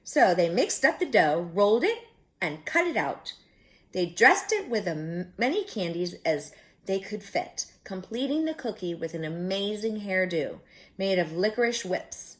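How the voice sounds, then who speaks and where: Animated woman reading aloud to children. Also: medium pitch. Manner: expressive, drawn-out delivery with chatty questions to listeners. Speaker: children's storyteller and teacher. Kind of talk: animated read-aloud storytelling with asides to young children.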